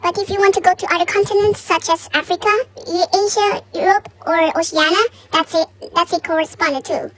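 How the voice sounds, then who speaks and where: The voice is extremely high in pitch. It reads scripted breaking news in the role of a newsreader for a fictional emergency alert broadcast. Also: steady tone, fairly quick, few pauses, a bit bright.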